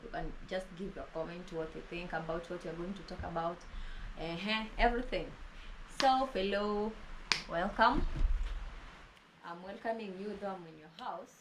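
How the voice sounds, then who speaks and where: A woman's voice: on the low side, normal speed. A woman hosting a casual interview with a fellow YouTuber, rambling along with plenty of fillers and restarts, recorded inside a house. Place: inside a house during heavy rain. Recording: noisy with rain outside.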